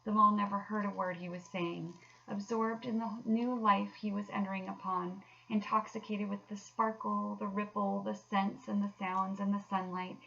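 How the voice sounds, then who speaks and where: A woman's voice: medium pitch, flat delivery, normal speed, creaky, hardly any pauses, sharp tone, far from the mic, highs cut off. A woman in her thirties narrating a children's storybook aloud as a teacher would. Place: small furnished room at home. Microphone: laptop webcam at desk distance.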